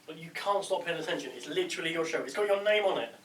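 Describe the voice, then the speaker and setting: A man's voice: fairly high pitch, animated, quick pace, a bit bright. A man reading comedy dialogue casually, with a sarcastic delivery. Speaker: performer at a table read. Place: indoor room, group table read. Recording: echoey room, distant mic, faint noise.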